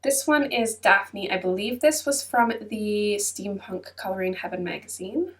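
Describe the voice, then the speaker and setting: A woman's voice: medium pitch, unhurried, far from the mic. A woman hosting her own channel, chatting casually over a flip-through with a congested, stuffy-nosed voice and plenty of ums and hedges. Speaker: channel host. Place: at her computer.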